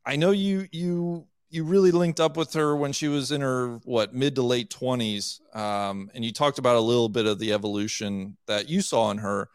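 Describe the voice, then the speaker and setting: A man's voice: mid-range voice, normal speed, hardly any pauses, clear, resonant tone, dry recording. Dry-sounding male podcast host. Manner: conversational, self-deprecating questions. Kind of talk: casual interview.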